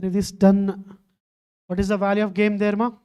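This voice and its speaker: Mellow-voiced woman teaching. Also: medium pitch, flat delivery, normal speed, smooth. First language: Telugu. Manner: keeps quizzing students, calling them "ma". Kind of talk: online exam-prep lecture.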